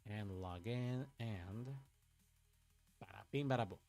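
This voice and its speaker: A young man from Puerto Rico with a lowish voice chats casually through a card-game livestream. He thinks aloud, mutters and swears at his own plays. Faint music plays under his voice. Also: slow, gravelly, darkish tone. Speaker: streamer playing an online card game. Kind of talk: casual livestream chat and play commentary.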